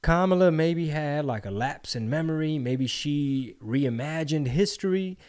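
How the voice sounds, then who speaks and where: A man's voice: a little high, lively intonation, measured pace, a little mellow, clear, resonant tone. A man in his thirties hosting a podcast, talking casually and profanely, acting out quotes with jokes. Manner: profane, joking, acts out quotes. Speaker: podcast host. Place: home studio with brick wall. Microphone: studio mic on boom arm, headphones.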